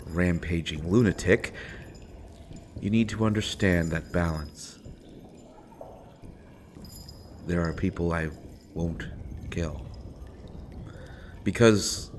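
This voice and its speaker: Man acting very deep, sardonic imprisoned assassin. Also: fairly even intonation, slight background noise. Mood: calm, philosophical. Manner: deliberate, wry, mock-courteous, with pointed pauses. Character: sardonic imprisoned male assassin, principled, coolly amused. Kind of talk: scripted roleplay audio drama, addressed to listener.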